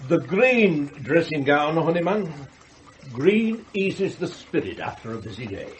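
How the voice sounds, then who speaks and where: A man's voice: fairly high pitch, big pitch swings, a little slow, a little hiss or hum. An actor playing a wealthy middle-aged magnate in a radio play, savouring his words in rhapsodic, declamatory speeches. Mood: relaxed, indulgent. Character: wealthy theatrical male magnate, morbidly eloquent about his grim past. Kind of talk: radio drama acting.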